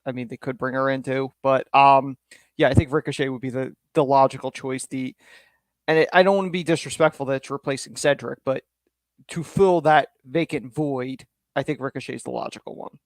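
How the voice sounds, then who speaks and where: A man's voice: mid-range voice, fairly even intonation, brisk, no room sound. A man co-hosting a casual wrestling podcast, thinking aloud in an earnest way, with frequent fillers. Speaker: podcast co-host. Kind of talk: casual opinion chat.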